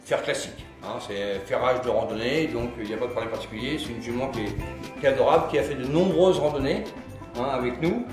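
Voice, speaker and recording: A man presenting a horse he is about to shoe, speaking calmly and hesitantly from a distance. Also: medium pitch. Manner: calm, hesitant, with pauses and "euh". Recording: distant, roomy sound with guitar music.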